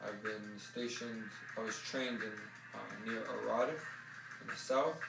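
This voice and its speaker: A young man from New York describes his army service in a steady, deliberate way. His voice is low and gravelly. He sounds far from the mic in an echoey space, with clear music underneath. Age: 20s. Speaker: serving soldier interviewee. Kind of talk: earnest interview testimony.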